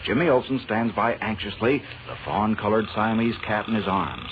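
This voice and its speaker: An old, slightly muffled and hissy recording features a man playing a tense serial narrator. He sweeps dramatically through his pitch range to build suspense. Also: expressive, normal speed, close to an everyday voice. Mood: tense, dramatic. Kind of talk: dramatic 1940s radio narration.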